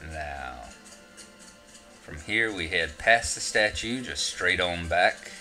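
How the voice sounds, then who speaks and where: A man with a Southern US drawl narrates a game walkthrough in a deep, low voice. His tone is bright and forward. He rambles in a relaxed way and pokes fun at himself between directions. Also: slow, faint music behind. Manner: relaxed, rambling, self-mocking asides. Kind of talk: casual gameplay commentary.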